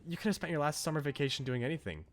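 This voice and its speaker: A man's voice: fairly high pitch, lively intonation, very fast, voice pushed up. A man voicing an earnest, devoted young woman from a visual novel, reading her lines with emphasis. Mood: admiring, earnest. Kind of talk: reading visual novel dialogue aloud in character. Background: game music.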